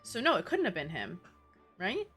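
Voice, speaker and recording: A woman's voice: mid-range voice, huge pitch swings, normal speed, a bit bright. A young adult woman commenting casually on a game livestream, slangy, reactive and rambling. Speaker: game streamer. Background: game music.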